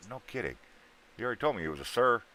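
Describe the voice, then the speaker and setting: A man's voice: mid-range voice, sing-song, racing, bright, forceful tone, rough recording. A man in his thirties, hosting a livestream and bantering with guests in trucker slang. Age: 30s. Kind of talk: casual livestream chat.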